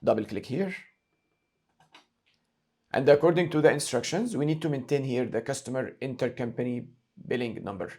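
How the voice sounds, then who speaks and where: A man narrating a screen-share software tutorial as an instructor, explaining each step methodically and repeating key points. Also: medium pitch, normal speed, long pauses, husky.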